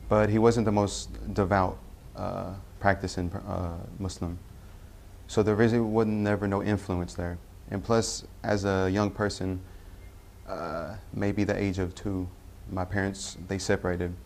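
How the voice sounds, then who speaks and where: A young man from Tennessee, telling his personal faith story in a halting way, with frequent pauses and self-corrections. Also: deep voice, flat delivery, creaky, darkish tone, a little hiss or hum. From Tennessee, US Southern. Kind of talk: personal testimony.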